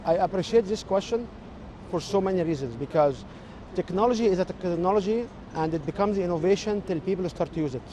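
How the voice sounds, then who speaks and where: A man with an Egyptian accent and native Arabic, giving measured, explanatory interview answers as a technology expert. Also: high voice, mellow, slight background noise, rough recording.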